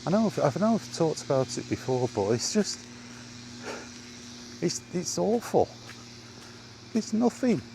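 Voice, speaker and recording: A man's voice: a little high, sing-song, a little slow, gravelly, pauses often, background noise. A man vlogging casually about gaming in a rambling, plain-spoken way while out walking, recorded on a small wireless mic.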